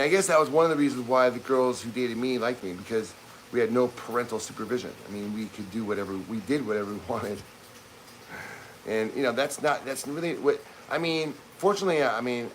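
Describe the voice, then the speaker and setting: A man's voice: mid-range voice, quick pace, poor audio. A man in his fifties talks loosely on a home livestream and keeps restarting his sentences. He is in a kitchen, with faint household noise behind him. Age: 50s. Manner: rambling, with many restarts and asides. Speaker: livestream co-host. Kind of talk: casual livestream chat.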